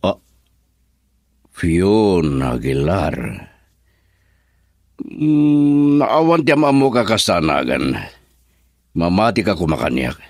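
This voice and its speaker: A man's voice: low voice, very animated, slow, pauses often, darkish tone, dry recording, clean studio sound, heavily acted. An actor with an Ilocano Filipino accent playing a commanding, evasive businessman husband in a radio drama. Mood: musing.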